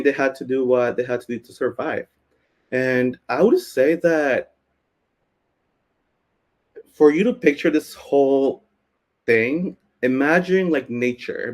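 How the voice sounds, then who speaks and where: A man from Peru, a native Spanish speaker, giving an informal storytelling presentation in a conversational way, peppered with filler words like "basically". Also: medium pitch. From Cusco, Peru. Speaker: speaker, community founder presenting.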